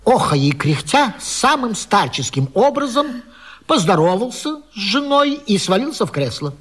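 A man's voice: high voice, sing-song, normal speed, a bit bright, slightly rough, exaggerated voice. An actor playing a fussy, agitated respectable married man in a theatrical radio comedy. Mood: anxious storytelling. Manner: pompous, agitated, indignant. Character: fussy, flustered respectable married man caught under a bed. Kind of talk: theatrical radio vaudeville acting.

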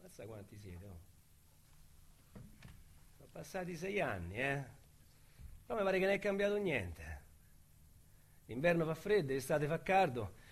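An actor playing a cocky, work-shy Roman ex-convict in a radio drama, with a Roman accent and brash street talk that drops into quiet confession. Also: male voice, a little high, sing-song, unhurried, long pauses, breathy edge, heavily acted. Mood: wistful. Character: Roman small-time crook, ex-convict, cocky and idle.

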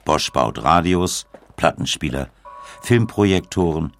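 A man reading scripted documentary narration in a measured manner, with dramatic pauses. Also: rumbling low, animated, a little hiss or hum.

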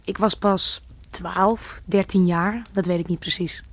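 A woman's voice: on the low side, steady tone, a little slow. A woman voicing an island woman recalling her youth in a radio play, speaking earnestly. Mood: recounting. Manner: earnest, recounting.

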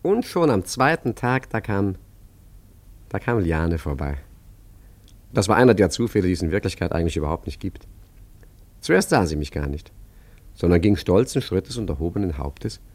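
A low-pitched male street painter who fancies himself a philosopher and a master forger. He talks with chatty irony and a touch of mock grandeur, in the manner of a radio play. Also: lively intonation, normal speed, pauses often, darkish tone, no room sound. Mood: musing, wry. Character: wry philosophical male street painter and self-proclaimed great art forger. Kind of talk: German radio play acting and narration.

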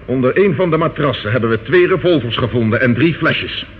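Methodical male detective, played by an actor for radio crime drama: medium pitch, firm measured questioning, slightly muffled vintage sound. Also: lively intonation, natural voice. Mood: firm, pressing. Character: level-headed, methodical male London police detective, firm interrogator. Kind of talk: old radio play acting. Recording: muffled old radio recording, faint noise.

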